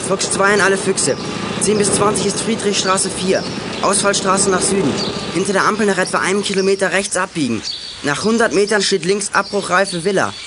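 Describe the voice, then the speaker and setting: An actor playing a decisive teenage boy detective in a 1980s youth radio play, giving brisk instructions. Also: high-pitched, very noisy, lightly acted. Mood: urgent.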